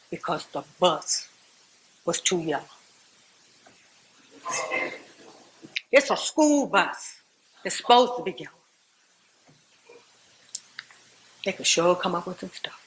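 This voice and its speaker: A woman's voice: mid-range voice, a bit bright. Woman acting a resolute Southern activist, noisy recording. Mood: indignant, anxious. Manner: theatrical, folksy, with dramatic pauses and repetition. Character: Southern woman civil rights activist testifying to her church, devout, resolute. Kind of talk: dramatized first-person monologue, church storytelling.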